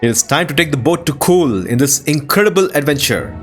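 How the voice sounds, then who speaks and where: Man narrating a game, very varied pitch. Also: expressive, fairly quick. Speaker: gaming video narrator. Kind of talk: scripted game playthrough narration. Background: music.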